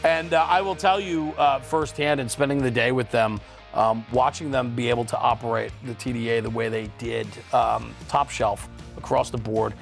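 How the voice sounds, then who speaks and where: A man with a mid-pitched voice hosts from a studio, upbeat and emphatic while keeping it conversational. Light guitar music sits behind him. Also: steady tone, moderate pace, vocal fry. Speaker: studio host.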